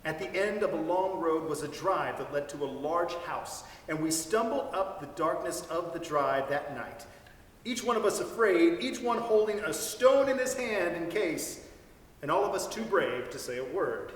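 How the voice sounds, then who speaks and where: A man giving an expressive, measured dramatic reading of a literary story. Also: a little high. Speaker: storyteller reader. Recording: echoey hall, distant mic, faint noise.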